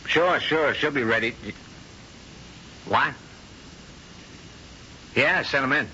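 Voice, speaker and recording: A man's voice: medium pitch, slow, pushed and bright, slight background noise. An actor playing an easygoing, reassuring man, a manager and suitor, talking casually in a 1970s radio drama. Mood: calm. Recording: muffled old radio broadcast with music.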